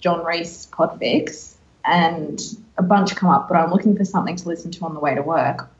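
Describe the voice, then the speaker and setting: Female podcast co-host, lowish register, casual advice-giving, mildly lo-fi sound.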